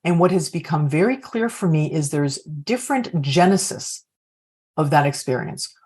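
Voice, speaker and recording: A woman's voice: on the low side, a little mellow, husky. A woman coaching leaders on a video call, reflectively posing open questions to the group. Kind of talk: workshop facilitation.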